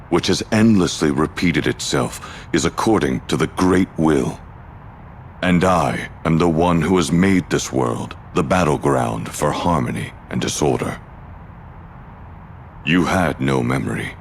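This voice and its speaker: An actor voicing a grave, calm and devoted mastermind in a video game, solemn and measured. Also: male voice, a bass voice, vocal fry, a little hiss or hum, full character voice. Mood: solemn, confiding. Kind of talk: dramatic video game voice acting.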